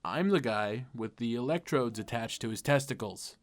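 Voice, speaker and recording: Self-deprecating teen hero-narrator played by a man for a comic dub; medium pitch, sardonic, confiding in the listener. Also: lively intonation, normal speed, close to an everyday voice. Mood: wry. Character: wry, self-deprecating ordinary teenage boy turned superhero.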